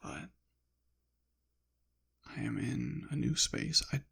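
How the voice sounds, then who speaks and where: Male ASMR voice, unhurried and halting, drifting between gravelly murmur and whisper, apologetic delivery. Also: mid-range voice, a bit bright. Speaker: ASMR channel creator. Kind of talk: soft-spoken ASMR ramble, partly whispered.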